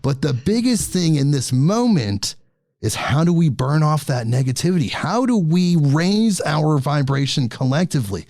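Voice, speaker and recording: A man's voice: on the high side, sing-song, normal speed, darkish tone, no room sound, clean studio sound. A man hosting a podcast, talking earnestly and with enthusiasm in a casual spiritual conversation. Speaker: podcast host.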